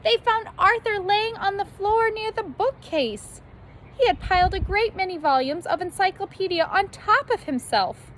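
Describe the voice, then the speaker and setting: A woman reading a children's story aloud like a teacher, expressively, with animated emphasis on key words. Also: very high-pitched, moderate pace, slight background noise.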